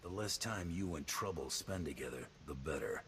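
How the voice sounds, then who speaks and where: Game bounty hunter: guarded, all business, clipped deadpan delivery, exceptionally deep register. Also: male voice, moderate pace, slight background noise, full character voice. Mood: dry, guarded. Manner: terse, deadpan. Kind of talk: video game dialogue.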